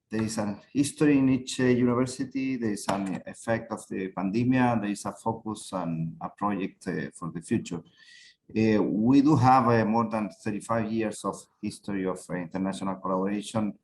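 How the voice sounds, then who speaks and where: A middle-aged man with a lowish voice talks haltingly, filling gaps with "uh" and repeating words as he goes. Faint background hiss sits under him. He speaks as a university rector on a panel. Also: darkish tone. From Chile. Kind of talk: panel talk.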